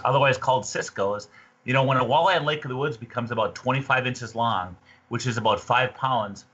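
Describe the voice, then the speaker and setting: A man's voice: medium pitch, sharp tone, slightly rough. A middle-aged man in his fifties chatting in a folksy, casual way as a guest giving a fishing report over a video call. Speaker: podcast guest, fishing tourism representative. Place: small room indoors, on a video call. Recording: dull, lo-fi video call audio.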